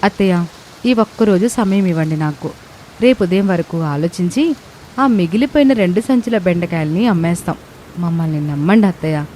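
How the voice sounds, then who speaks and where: A woman's voice: lowish voice, moderate pace, dark tone, a little hiss or hum, close to an everyday voice, poor audio. A woman voicing an earnest, respectful young daughter-in-law who pleads and explains in a family moral story. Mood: pleading. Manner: respectful, persuasive. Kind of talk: dramatised moral story dialogue.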